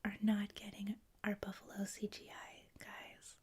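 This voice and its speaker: A woman with a Canadian accent, a native French speaker, hosting a livestream in a hushed, whispery ASMR style, chatting casually with viewers. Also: mid-range voice, completely flat delivery, measured pace, slightly rough. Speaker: livestream host. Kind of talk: soft-spoken ASMR, casual chat with viewers.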